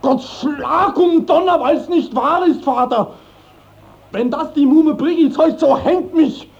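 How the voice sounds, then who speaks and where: An actor playing a blunt, indignant young peasant lad in a classical German radio drama, given to exclamation. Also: male voice, very high voice, takes it slowly, creaky, heavily acted. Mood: outraged. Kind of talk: classical German stage-style radio acting. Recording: dull 1950s radio drama recording.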